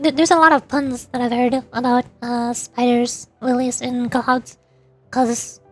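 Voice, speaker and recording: A woman livestreamer chatting casually over a game in a rambling, playful way. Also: high-pitched. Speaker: livestreamer, VTuber. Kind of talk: casual chat while gaming, answering live chat.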